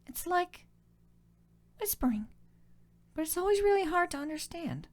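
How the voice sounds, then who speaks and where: A man's voice: very high voice, very animated, moderate pace, voice pushed up. A man voicing a sulky, defiant little girl pony-changeling hybrid in a storybook reading, with a whiny, challenging manner. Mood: uneasy.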